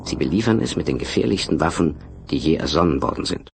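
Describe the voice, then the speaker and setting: A man's voice: a bass voice, animated, fast talker, creaky, music in the background, exaggerated voice. A man narrating a science-fiction radio play with grave, dramatic scripted delivery.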